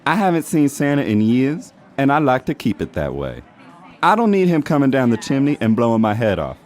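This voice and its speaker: A man's voice: medium pitch, wildly swooping pitch, brisk, a little mellow. Man as a shady, swooping-voiced reindeer pimp. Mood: grudging, confiding. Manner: streetwise, defensive, grudging. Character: shady, exasperated male reindeer bar owner and pimp. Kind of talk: noir comedy audio drama. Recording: noisy bar ambience behind voice.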